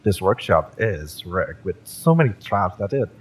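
A man playing a fiery, spurned mercenary ex-bodyguard in an audio roleplay, mocking and drawling with menacing intimacy and dramatic pauses. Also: low voice, big pitch swings, a little hiss or hum. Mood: bitter, taunting. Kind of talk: scripted audio roleplay addressed to the listener.

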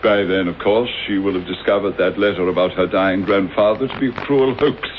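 An actor with a British accent playing a mad, vengeful, gloating villain in a theatrical, wordy radio drama style. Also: male voice, low voice, a little fast, lightly acted. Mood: gloating, mock-mournful. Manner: theatrical, sardonic, elaborately wordy. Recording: dull, noisy old radio broadcast recording.

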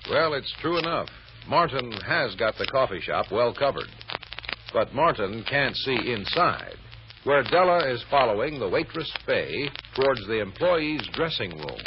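A dull, noisy vintage radio recording carries an American man narrating a serial. His medium-pitched voice rises and falls animatedly, with a wry storyteller's delivery. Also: big pitch swings, measured pace. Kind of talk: old-time radio serial narration, scripted.

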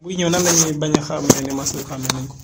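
A man interviewing, posing questions in a hesitant way with many pauses. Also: a little high, fairly even intonation, very noisy.

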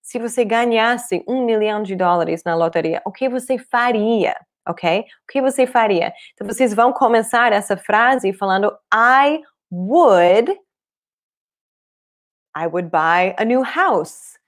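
A woman in her thirties, a native English speaker with a foreign accent in Portuguese, teaching an online language lesson in a clear, deliberate way. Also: medium pitch, animated. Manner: clear, deliberate explaining with repeated examples. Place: small room indoors, at a desk.

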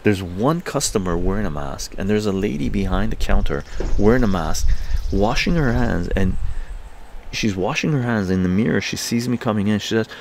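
An older man from Canada, livestreaming from his outdoor patio, telling a story in an animated, laughing way with crude language. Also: moderate pace, creaky, slight background noise. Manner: animated, laughing, swearing, acts out quotes in higher voices. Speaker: livestream host. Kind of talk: casual storytelling to a live chat.

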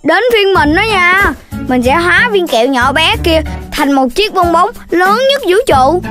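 A voice actor playing a playful young animal child in a cartoon, in a bouncy, eager childlike voice. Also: female voice, very high voice, expressive, measured pace. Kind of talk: cartoon dubbing. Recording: cartoon with music underneath.

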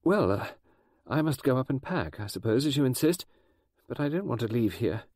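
Man voicing a soft vague mother, lightly raised pitch, hesitant, dry. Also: big pitch swings, moderate pace, no room sound. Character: vague, gentle middle-aged mother.

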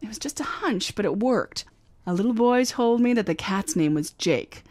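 A woman's voice: mid-range voice, expressive, moderate pace, darkish tone, no room sound, natural voice. An actress playing a confident, wisecracking female private eye in a radio drama, breezy in tone. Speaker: actor. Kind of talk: old-time radio drama acting.

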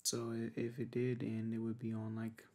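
A young man doing an intimate ASMR roleplay, talking softly and casually in a halting, laid-back way, with a breathy voice. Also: medium pitch, completely flat delivery. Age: young adult. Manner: hesitant, halting, laid-back pillow talk. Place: small bedroom, lying on a bed.

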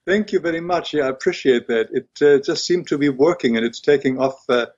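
An older man with a German accent talks as a guest, pausing often and restarting his sentences. His voice is husky and a little breathy. The audio sounds dull and lo-fi. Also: on the high side, darkish tone. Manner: hesitant, many fillers and restarts. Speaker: guest, illustrator and writer. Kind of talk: interview conversation.

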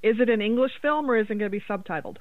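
A woman's voice: fairly high pitch, racing. A woman co-hosting a podcast, chatting casually and informally about horror films. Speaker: podcast co-host. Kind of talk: casual horror film chat. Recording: tinny phone-like line, roomy echo.

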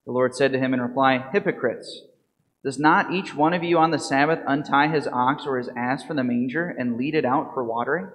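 A man in his thirties, a priest, reads the gospel and gives a calm, measured homily full of questions to the congregation. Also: medium pitch. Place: church sanctuary at a lectern. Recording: reverberant church from a lectern mic.

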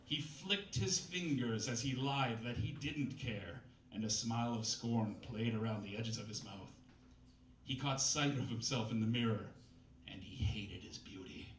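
A man's voice: mid-range voice, a little slow. A man in his thirties reading a novel aloud at a live reading night, in a mock-dramatic way with wry asides. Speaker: reader at a comedy reading night. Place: small bistro stage with live audience. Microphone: stand mic, filmed from far camera. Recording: roomy, distant camera audio, faint noise.

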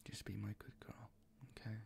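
A man playing a doting, gently dominant boyfriend in an intimate ASMR roleplay, speaking in a soft, coaxing, reassuring way with a breathy, gravelly whisper. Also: normal speed, natural voice. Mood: teasing, soothing. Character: doting, gently dominant, teasing boyfriend comforting his partner.

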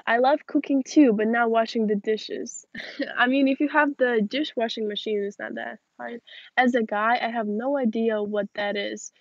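A young woman in her late teens, a native Russian speaker, talks in a fairly high voice. She chats informally as a livestream host fielding questions. The sound is dry and slightly dull, captured outdoors at arm's length. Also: creaky, dry recording. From Moldova. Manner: informal, chatty. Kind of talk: casual chat, reading and answering viewer questions. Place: outdoors in a backyard garden. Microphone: phone or camera at arm's length.

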